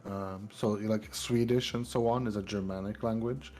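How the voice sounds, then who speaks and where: Male co-host, low voice, brief chatty asides. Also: fairly even intonation, moderate pace, a little mellow. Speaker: podcast co-host. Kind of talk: casual interview.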